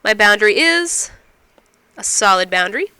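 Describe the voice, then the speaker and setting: A woman's voice: medium pitch, big pitch swings, normal speed, sharp tone, clean tone, dry recording. A woman instructor explaining math step by step, asking and answering her own questions, while getting over a cold. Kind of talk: recorded math lecture.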